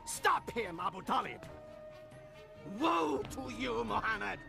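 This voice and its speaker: An actor playing an angry, menacing male villain in an animated film, with a gravelly voice over film music. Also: very high-pitched, slow, heavily acted. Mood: outraged.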